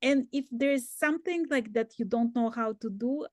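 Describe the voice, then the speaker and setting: A woman's voice: on the high side, moderate pace, darkish tone, no echo, clean studio sound. A woman hosting a knitting video call, chatting casually and peppering her talk with fillers. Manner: friendly, fillers like "like". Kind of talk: casual chat.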